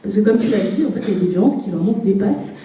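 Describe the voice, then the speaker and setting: A woman's voice: mid-range voice, lively intonation, racing, mellow. A young woman from France speaking on a conference panel in a casual, chatty and slangy way. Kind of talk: panel discussion talk. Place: conference hall. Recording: echoey hall, voice from far off.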